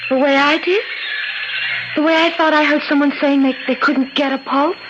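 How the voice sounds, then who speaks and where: A woman's voice: sits high, fairly even intonation, moderate pace, noisy background, close to an everyday voice. An actress playing a distraught young woman who pleads melodramatically in an old-time radio drama. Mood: bewildered, fearful. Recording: muffled old radio recording, loud music.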